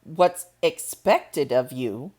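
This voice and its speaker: A woman voicing a neat, thin old manservant with a husky voice and a curt manner. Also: low voice, expressive, drawn-out words, darkish tone, pitched below the performer's own voice, exaggerated voice.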